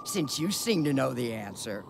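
A woman's voice: rumbling low, sing-song, moderate pace, vocal fry, heavily acted. A woman playing a vain, theatrical villainous mosquito mother in a children's cartoon, with dramatic, grandiose delivery. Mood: flustered, placating. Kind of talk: animated children's cartoon acting. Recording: music under the dialogue.

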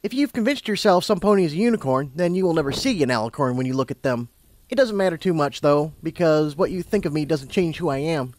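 A man voicing a calm, wise unicorn mare, a sympathetic mentor with a patient, knowing manner. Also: fairly high pitch, quick pace, dry recording, pitched above the performer's own voice, lightly acted. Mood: mildly exasperated.

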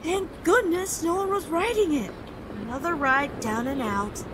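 An actress voicing an excitable young woman who cries out in exclamations, in a comic dub. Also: high voice, a little slow, far from the mic, noisy background. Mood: worried.

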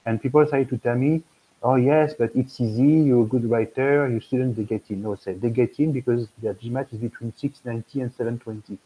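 A man's voice: mid-range voice, steady tone, fairly quick, warm tone, husky, poor audio. A man in his fifties with a French accent, talking on a video call as an admissions consultant, rambling with many fillers and restarts. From France. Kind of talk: video call webinar talk. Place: room at home.

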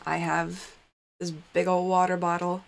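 A woman's voice: lowish voice, level tone, a little slow, vocal fry, a little hiss or hum. A young woman with a stuffy nose from a cold, chatting casually as she plays a game in her basement and reads its text aloud. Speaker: gaming channel host. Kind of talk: casual let's-play commentary. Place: cold basement in front of a green screen. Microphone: desk mic while wearing headphones.

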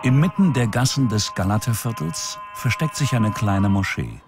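A man's voice: on the low side, animated, normal speed, loud music behind the voice. A man with a standard German accent narrating a television documentary in a scripted style.

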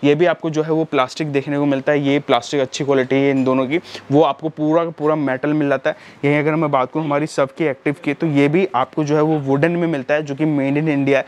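A man talks his viewers through a row of speakers in a big indoor showroom, chatty and repetitive. His voice sits a bit high, and his pitch barely moves as he talks. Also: flat delivery, a little fast, hardly any pauses, a little mellow, a little hiss or hum. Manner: chatty, repeats "dosto" and "yahan pe". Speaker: shop presenter reviewing speakers. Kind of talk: casual product walkthrough. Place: large indoor audio showroom.

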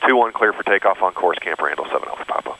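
A man's voice: low-pitched, lively intonation, fast talker. A man speaking as a pilot in clipped aviation radio calls over a cockpit intercom. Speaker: private pilot. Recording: noisy aircraft cockpit radio audio. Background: airplane engine drone.

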